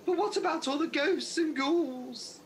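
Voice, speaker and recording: A woman's voice: sits high, unhurried, slight background noise. A woman playing a nervous, grumbling older pantomime dame in a radio play, exclaiming melodramatically. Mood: frightened.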